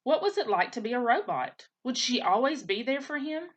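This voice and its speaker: A woman teacher reading a children's storybook aloud in an expressive way. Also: fairly high pitch, a little fast, dull audio.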